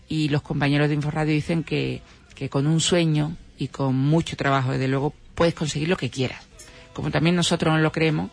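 A man with a Spain Spanish accent giving halting radio commentary, often tagging his points with short rhetorical questions. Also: a little high, vocal fry. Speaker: radio show contributor. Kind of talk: semi-scripted radio commentary in conversation.

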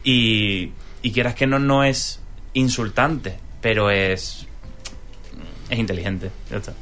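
A man's voice: lowish voice, takes it slowly, a bit bright. A man from in a casual film discussion, opinionated and fond of rhetorical questions. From Spain. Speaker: podcast panelist.

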